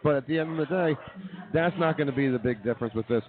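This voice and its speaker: A man talks quickly and firmly, tripping over his own openings as he argues his point. The sound is thin and narrow, like a phone line. He speaks at a middle pitch for a man. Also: a crowd in the background. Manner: fast, confident opinions with repeated restarts. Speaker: co-host. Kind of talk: casual sports talk. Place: brewery, live show.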